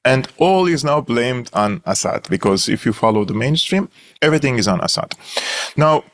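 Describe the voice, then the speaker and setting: A man's voice: medium pitch, animated, moderate pace, a bit bright. A young man from Syria with a Syrian Armenian accent, a guest analyst telling his story earnestly in an interview, with many fillers and restarts.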